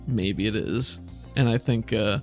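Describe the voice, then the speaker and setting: A man's voice: lowish voice, measured pace, faint music behind. A man improvising scenes as game master of a tabletop game in a casual, conversational way.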